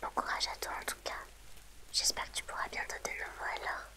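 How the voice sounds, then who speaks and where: A woman streamer whispering softly and gently to her viewers in an ASMR livestream, close to the mic. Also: fast talker.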